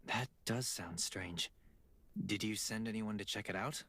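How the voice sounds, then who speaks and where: A man's voice: on the low side, measured pace, fairly bright tone. An actor playing a helpful, inquisitive male survivor in a video game. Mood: curious, practical.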